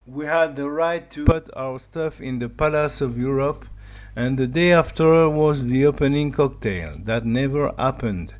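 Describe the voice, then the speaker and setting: A man in his fifties from France with a French accent, whose first language is German, reading a personal pitch about his life as a digital artist in slow, simple sentences of his second language. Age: 50s. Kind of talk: personal pitch, read aloud.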